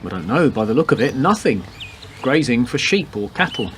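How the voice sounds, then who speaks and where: A man playing a laconic, deadpan police detective with a macabre wit in a radio play. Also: medium pitch, normal speed, a little hiss or hum, natural voice. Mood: wry, matter-of-fact. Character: wisecracking male police detective with macabre humour. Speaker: actor in a community radio play. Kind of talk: radio drama dialogue.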